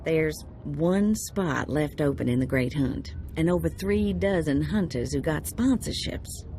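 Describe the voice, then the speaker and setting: A flirty, brash hunters' contact. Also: female voice, low voice, expressive, measured pace, dark tone, a little hiss or hum. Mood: teasing. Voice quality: flirtatious and teasing. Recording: music behind.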